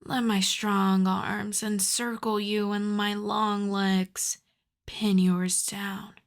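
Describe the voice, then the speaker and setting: Slow, whispery vampire countess played by a woman. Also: medium pitch, little pitch movement. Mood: possessive, soothing. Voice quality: soft, breathy, often slipping into whispers. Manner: slow, crooning, condescendingly tender. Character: seductive, predatory female vampire countess, possessive and teasing. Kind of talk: ASMR roleplay, acted monologue.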